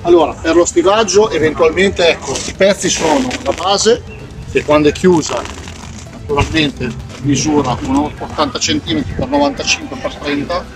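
A man's voice: fairly high pitch, moderate pace, far from the mic, over music, low-quality recording. A middle-aged man presenting a product at an outdoor boat show stand, explaining step by step and checking in with "ok?". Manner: explanatory, salesy, with frequent "ok?" checks.

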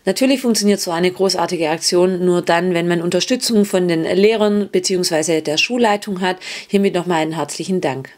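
A woman's voice: on the low side, fairly quick, few pauses, a little mellow. A woman narrating a scripted charity news report as a voice-over, with small hesitations.

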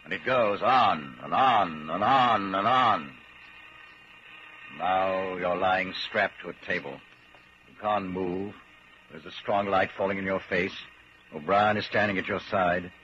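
An actor as a grave, deliberate narrator telling a grim story in the second person, in 1940s radio drama style. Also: male voice, deep voice, pushed and bright. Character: grave, ominous male narrator addressing the hero. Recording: dull, hissy 1940s radio recording.

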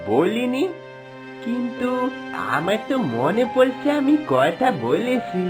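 A woman's voice: a little high, sing-song, slow, over music. A woman voicing a bewildered village husband and devoted son in a cartoon, questioning and plaintive. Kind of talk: cartoon voice acting.

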